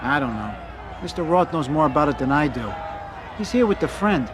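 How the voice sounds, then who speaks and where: Mob henchman in a game, kinda high voice, informal, crowd noise all around. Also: sing-song, brisk. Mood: matter-of-fact. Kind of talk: video game cutscene acting.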